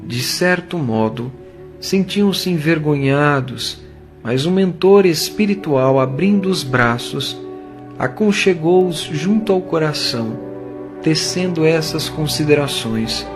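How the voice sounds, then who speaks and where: A man narrating an audio drama from a script in a measured, literary storytelling manner. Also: on the high side, animated. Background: music.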